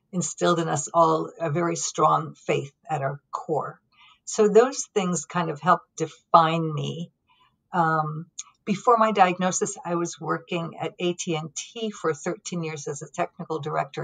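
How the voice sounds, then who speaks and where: A middle-aged woman from Lebanon with a low voice tells her story as an interview guest. She speaks calmly and thoughtfully, pausing often with ums. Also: vocal fry, husky, low-quality recording. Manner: calm, reflective, with frequent ums and pauses. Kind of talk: conversational storytelling.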